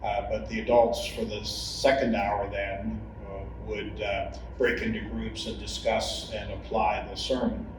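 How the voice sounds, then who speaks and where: A man's voice: on the low side, little pitch movement. Male church member interviewee, hesitant, phrase by phrase, distant in a reverberant, noisy room. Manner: halting, pausing often mid-phrase. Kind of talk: hesitant spoken recollection in a group interview. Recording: noisy, echoey room, far from mic.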